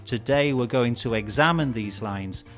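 A man's voice: medium pitch, moderate pace, fairly bright tone. Middle-aged male instructor over music. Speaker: presenter of an educational film. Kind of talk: scripted instructional narration. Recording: music bed behind studio voice.

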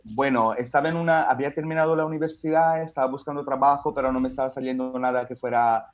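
A man's voice: mid-range voice, steady tone, quick pace. A man in his thirties from Italy, speaking with an Italian accent as a guest comedian, telling his story in a rambling way with many fillers and restarts over a video call from his home. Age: 30s. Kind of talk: casual interview chat.

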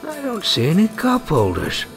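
A man's voice: sits high, huge pitch swings, normal speed, gravelly, over music, full character voice. A man voicing a cheerful, goofy old dwarf cleric with a theatrical, hammy manner in a comic dub. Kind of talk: scripted cartoon acting.

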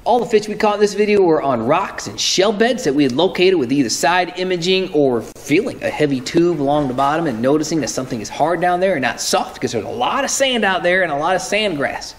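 A man's voice: a little high, lively intonation, fairly quick, few pauses. A man in his thirties hosting a fishing show, explaining his methods in a casual, explanatory way and correcting himself as he goes, filmed indoors in a tackle room close to the camera. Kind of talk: instructional fishing talk to camera. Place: indoor tackle room with lure-covered wall.

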